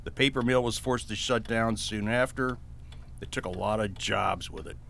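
An actor playing a calm, reassuring town doctor in a western radio drama, measured and matter-of-fact. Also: male voice, on the low side, gravelly, a little hiss or hum. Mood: sombre.

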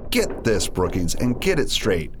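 An actor playing a ruthless, arrogant scientist villain in a space opera radio drama, scornful and domineering in manner. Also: male voice, on the low side, very animated, measured pace, noisy background. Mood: stern.

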